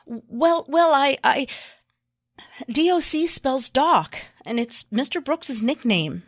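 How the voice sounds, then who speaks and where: A woman plays a polite lady visitor in a radio whodunit. Her voice is on the high side and recorded dry and close. She comes across as earnest and proper. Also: lively intonation, takes it slowly, no echo, heavily acted. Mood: earnest, hesitant. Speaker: actress. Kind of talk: old-time radio mystery acting.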